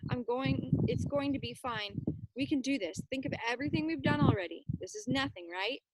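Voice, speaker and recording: A woman's voice: high voice, a little fast, heavy background noise, natural voice. A woman voicing a brave boy rescuer in a storybook, urgent and reassuring as he gives instructions. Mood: soothing.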